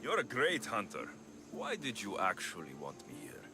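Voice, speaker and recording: A man playing a confident, cocky but warm-hearted young mercenary hero in a video game, with a plain-spoken delivery. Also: lowish voice, huge pitch swings, moderate pace. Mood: playful. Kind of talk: cinematic video game acting. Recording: cinematic music behind the voice. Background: music.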